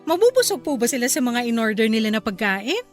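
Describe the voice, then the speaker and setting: An actress playing a young pregnant wife who speaks politely and gently to her mother-in-law in a radio drama. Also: on the high side, very animated, fairly quick, soft background music. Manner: polite, deferential, soft-spoken.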